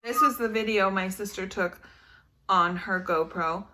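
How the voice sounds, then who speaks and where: A woman's voice: mid-range voice, a little slow, pushed and bright. A young woman with an American accent chatting and telling casual stories in a playful, teasing way. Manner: playful, teasing, laughs often. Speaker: vlogger telling dating stories with partner. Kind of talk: casual storytelling chat.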